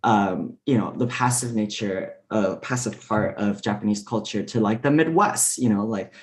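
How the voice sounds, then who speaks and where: A man whose first language is Mandarin, speaking as a diplomat on a panel discussion, relaxed and full of fillers. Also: on the low side, normal speed. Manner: relaxed, many fillers and restarts.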